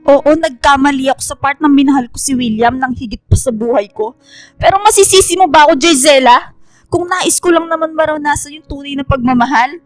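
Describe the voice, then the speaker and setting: A woman's voice: sits high, a little fast, dry recording, faint music behind, close to an everyday voice. A woman playing a naive, lovestruck woman in her twenties in a radio drama, with dramatic, emotional delivery. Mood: pleading. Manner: dramatic, pleading.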